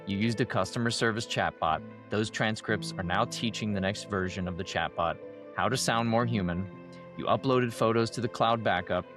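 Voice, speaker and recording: A man hosting a podcast, delivering a scripted monologue while sick and losing his voice, measured and emphatic. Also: fairly low pitch. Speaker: podcast host.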